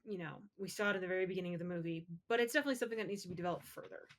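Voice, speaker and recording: A woman's voice: fairly low pitch, rapid-fire. A woman co-hosting a podcast in casual pop-culture chat, conversational and opinionated. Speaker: podcast co-host.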